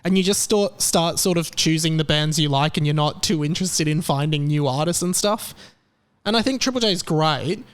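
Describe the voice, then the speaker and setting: A man's voice: a little high, moderate pace, clear, resonant tone. An Australian man in his thirties hosting a casual solo podcast, rambling and self-mocking with lots of fillers and restarts. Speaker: podcast host.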